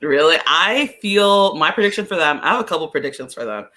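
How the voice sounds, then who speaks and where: A man co-hosting a sports podcast, chatting casually about soccer in a rambling, halting way and often correcting himself. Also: a little high, expressive, bright, forceful tone.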